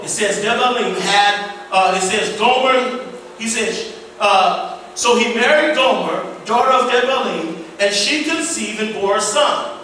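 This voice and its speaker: High-voiced pastor in an echoey hall. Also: male voice, pushed and bright, a little hiss or hum. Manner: slow, stumbling over Hebrew names, repeats words. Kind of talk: Bible teaching sermon. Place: church hall. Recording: echoey hall, voice far from mic.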